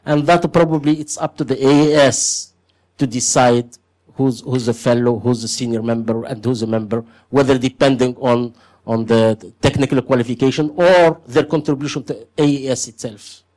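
Man in a meeting, mid-range voice, measured with hesitant starts. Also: mid-range voice, fairly even intonation, a little mellow. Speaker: meeting participant. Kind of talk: group discussion.

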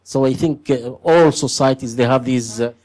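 Man, mid pitch, measured, hesitant starts, discussion. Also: darkish tone. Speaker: meeting participant. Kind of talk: group discussion.

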